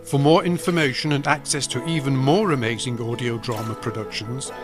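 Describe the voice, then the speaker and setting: A man's voice: mid-range voice, brisk, music in the background. A man giving scripted narration as the narrator of an audio drama.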